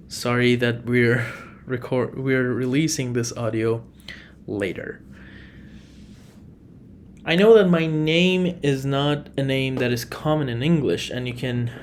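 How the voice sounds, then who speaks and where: A man from Iran, a native Farsi speaker with an Iranian accent, hosting a solo podcast in an unhurried way, often restarting and correcting himself. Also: medium pitch, a little hiss or hum. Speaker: podcast host.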